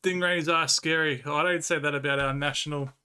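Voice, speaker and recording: A man's voice: a little high, fairly even intonation, fairly quick, bright, forceful tone, clear, resonant tone. An Australian man streaming live, rambling casually as he reads and answers his chat and swears freely.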